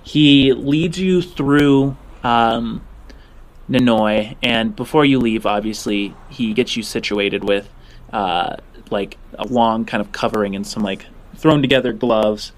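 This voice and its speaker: A man improvising narration as game master of a tabletop game, in a halting, informal, descriptive way. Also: mid-range voice.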